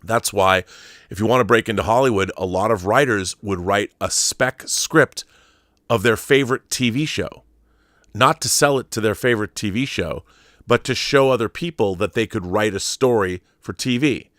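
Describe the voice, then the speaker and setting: A man's voice: lowish voice, normal speed, fairly bright tone, no room sound, clean studio sound. A man hosting an online show, reading an article aloud in an emphatic storytelling way and adding explanatory asides.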